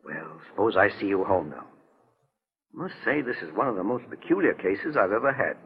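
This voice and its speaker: Kindly older detective, husky, very expressive pitch, old 40s radio drama. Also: male voice, exaggerated voice. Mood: reassuring. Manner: deliberate, reassuring, courteous. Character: kindly, fatherly, calm older male investigator. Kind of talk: 1940s radio acting. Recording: tinny, narrow 1940s radio recording.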